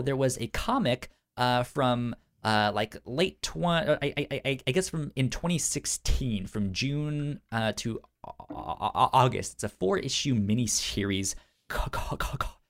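A man co-hosting a casual pop culture podcast, talking in a halting way with a pronounced stutter that repeats sounds and syllables. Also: mid-range voice, lively intonation. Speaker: podcast co-host.

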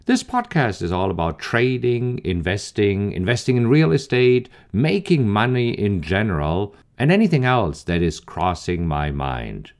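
A man's voice: on the low side, big pitch swings, measured pace, few pauses. A man from Germany, opening his podcast with an upbeat introduction addressed straight to the listener. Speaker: podcast host.

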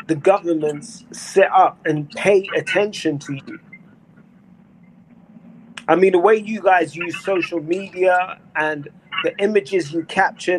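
A man in his early forties, speaking in a fairly high voice, talks earnestly with slang and frequent restarts. He sounds like he is on a remote call, with faint clicking noise behind him. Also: rough recording. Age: 40s. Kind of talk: casual conversation.

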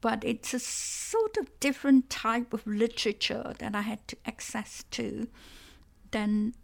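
A woman whose first language is Cantonese, speaking in an unhurried, reflective interview manner. She pauses and repeats small words while she gathers her thoughts. Her voice carries a gravelly, creaky edge. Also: on the high side, a little mellow. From Malaysia. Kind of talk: conversational interview.

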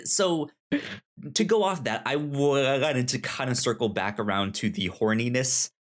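A man discussing casually as a podcast co-host, halting and repeating words as he forms his thoughts. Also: mid-range voice.

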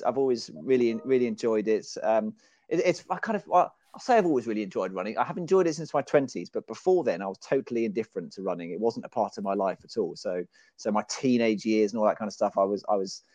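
A man's voice: mid-range voice, darkish tone, no room sound. A man, a podcast guest who talks in a chatty, self-deprecating way and often restarts his sentences. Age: 40s. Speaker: guest, author and runner. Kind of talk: casual podcast conversation.

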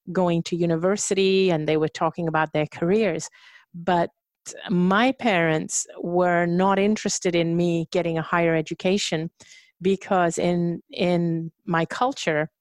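A woman from Hong Kong, a guest on an interview show, telling her story hesitantly with frequent pauses. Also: lowish voice, dry recording. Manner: hesitant, with many fillers and restarts.

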